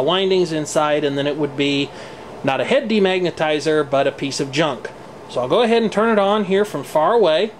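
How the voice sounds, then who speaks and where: American man, slightly high voice, casual how-to narration, folksy and wry, camcorder audio, noticeable background hum. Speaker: presenter demonstrating tape deck maintenance. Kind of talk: casual hands-on demonstration. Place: indoor room at home. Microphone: handheld camcorder microphone.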